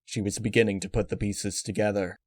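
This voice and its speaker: A man's voice: fairly low pitch, level tone, brisk, a little mellow, slightly rough, dry recording. A man reading scripted fiction aloud as its narrator.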